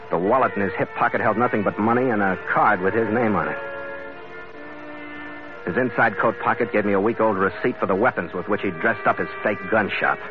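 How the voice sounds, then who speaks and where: An actor playing a tough, wry captain, narrating and speaking tersely in a hardboiled vintage radio drama. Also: male voice, on the low side, close to an everyday voice. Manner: terse, sardonic. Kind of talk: 1940s-50s radio noir narration and acting. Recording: muffled vintage radio recording.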